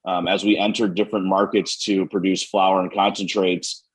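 A man's voice: sits low, level tone, normal speed, breathy edge, rough recording. An American man answering interview questions conversationally as a company co-founder, hedging often with "you know" and "kind of". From United States, Western New York. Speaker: business co-founder being interviewed.

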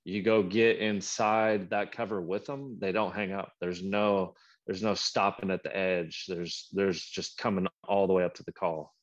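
A man's voice: sits low, fairly even intonation, unhurried, lo-fi sound. A man who hunts coyotes, a guest on a podcast, talking in a laid-back, plain-spoken way. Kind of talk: casual hunting chat.

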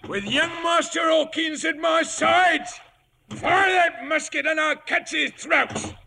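An actor playing a cunning, menacing ship's cook in a 1950s radio drama. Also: male voice, extremely high pitch, slow, creaky, sharp tone, heavily acted. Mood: fierce, commanding. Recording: noisy vintage radio broadcast.

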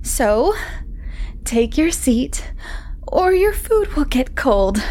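A woman voicing a creepy, unstable housewife who speaks in a sweet, drawn-out way. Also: sits high, big pitch swings, dark tone, a little hiss or hum, pitched above the performer's own voice, exaggerated voice. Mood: sugary. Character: eerie smiling housewife, sugary and unstable.

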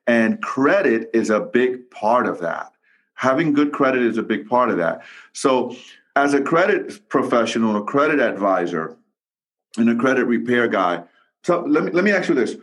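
Emphatic low-voiced podcast host. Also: male voice. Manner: energetic and emphatic, repeats himself and restarts phrases. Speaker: podcast host, real estate investor. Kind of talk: casual interview talk.

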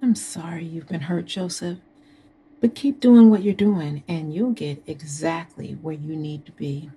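A husky, low-voiced seductive wife. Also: female voice, steady tone, a little slow, mellow, heavily acted. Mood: coaxing. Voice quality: husky, breathy. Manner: seductive, coaxing. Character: flirtatious, scheming wealthy married woman, bored and persistent. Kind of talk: modern audio drama acting.

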